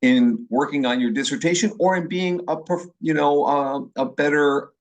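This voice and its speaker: A man with an Upper Midwest American accent from Milwaukee, a professor leading an online class orientation in a chatty way, with restarts and pauses. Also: on the high side. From Milwaukee, Wisconsin. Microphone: video call.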